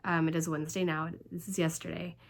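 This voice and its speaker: A woman vlogger with a hoarse voice from laryngitis and a stuffed-up nose, chatting through a rambling story full of fillers. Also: sits low, little pitch movement, quick pace. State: congested, stuffed up. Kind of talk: casual storytelling vlog.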